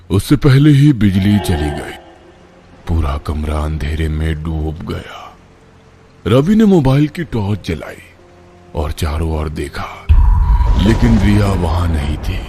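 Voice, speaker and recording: A man narrating a scripted horror story in a dramatic, suspenseful way. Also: low voice.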